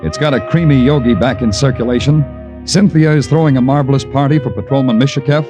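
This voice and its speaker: An actor playing a world-weary American police detective, narrating in brooding, lyrical, hard-boiled 1940s radio noir style. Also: male voice, mid-range voice, quick pace, lightly acted. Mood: intense, poetic. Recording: dull old radio broadcast, loud music.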